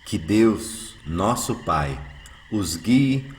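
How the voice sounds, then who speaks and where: A Brazilian man acting a gentle, benevolent healer of the 1910s in a radio drama, speaking earnestly and soothingly. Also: on the low side, lively intonation, very slow, a little hiss or hum. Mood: kindly, calm. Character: gentle, saintly male spiritist healer of the 1910s.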